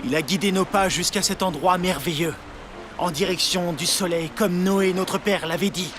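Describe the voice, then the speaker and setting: High-pitched, hopeful biblical husband, played by a man. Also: moderate pace, noisy background, natural voice. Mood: hopeful, proud. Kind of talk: dubbed animated Bible story acting.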